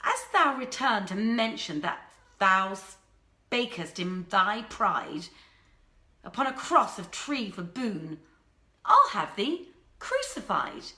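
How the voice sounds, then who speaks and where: A woman's voice: mid-range voice, big pitch swings, slow pace, sharp tone, echoey room, heavily acted. A woman voicing a haughty young princess in a dramatic storybook reading, imperious and indignant in archaic speech. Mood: stern, threatening. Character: haughty young princess, quick to fury and scorn.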